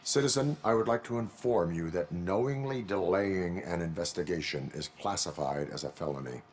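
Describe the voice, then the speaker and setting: Very deep, deadpan cyborg cop. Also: male voice, normal speed, faint music behind. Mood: flat, official. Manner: formal, deadpan, clipped official phrasing. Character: stern robotic cyborg police officer, male, unbending. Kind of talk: video game cutscene acting.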